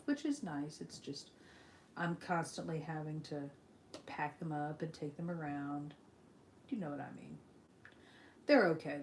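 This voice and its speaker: Chatty low-voiced woman on a live stream. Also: a little mellow, slight background noise. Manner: rambling, with frequent ums and restarts. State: sniffly from allergies. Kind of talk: casual live stream chat.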